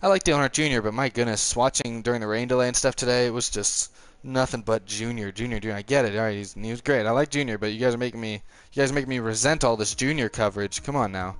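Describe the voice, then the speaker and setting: A man's voice: mid-range voice, clear, resonant tone, no room sound. Chatty nineteen-year-old guy streaming a race game. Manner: chatty, rambling, quick to frustration, chuckles. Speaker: gaming streamer. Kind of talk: casual live-stream commentary while racing.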